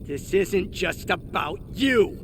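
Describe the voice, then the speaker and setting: A man's voice: high-pitched, lively intonation, slow pace, background noise, heavily acted. A man playing a conflicted, defensive engineer and protective brother in a video game, earnest and insistent. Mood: angry, alarmed. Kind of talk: dramatic video game voice acting.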